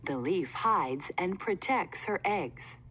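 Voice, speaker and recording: A woman reads a children's book aloud at a slow, deliberate pace. Her slightly low voice rises and falls in lively swings. There is faint noise behind her. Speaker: read-aloud narrator.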